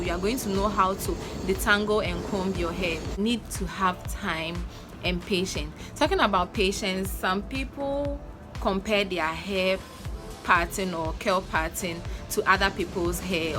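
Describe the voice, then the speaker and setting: A young woman with a Ghanaian accent giving earnest, coaching advice in a casual vlog, repeating her points. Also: fairly low pitch. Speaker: natural hair vlogger. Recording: music playing under the voice. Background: background music.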